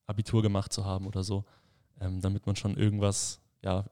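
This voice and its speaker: Man, early 20s, podcast host, lowish voice, relaxed questions, filler words. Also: a little fast, creaky, clear, resonant tone, no room sound, clean studio sound. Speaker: podcast host, leader at a mission school. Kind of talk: casual podcast conversation.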